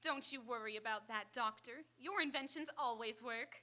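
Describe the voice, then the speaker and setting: A woman acting as an admiring young assistant from a vintage radio serial. Her voice is high and very sharp and bright. She sounds earnest and full of curiosity. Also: normal speed. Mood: supportive, eager. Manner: earnest, inquisitive. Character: eager, admiring young woman, scientist's companion. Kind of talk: old-time radio drama acting. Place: live on an auditorium stage.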